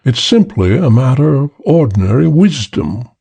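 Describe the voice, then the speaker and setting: A man voicing a short, stout, emotionless man with a British accent who speaks in a slow drawl. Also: mid-range voice, big pitch swings, creaky, mellow, husky, voice pushed up, full character voice. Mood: cold.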